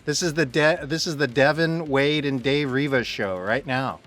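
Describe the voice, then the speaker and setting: A man's voice: mid-range voice, normal speed, fairly bright tone. A man hosting a casual promotional interview with a fellow actor, playful and bantering.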